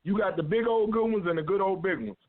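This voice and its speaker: Male radio host, high voice, loud and preachy, teasing the guests, dry phone-like sound. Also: fast. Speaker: show host. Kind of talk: casual radio chat.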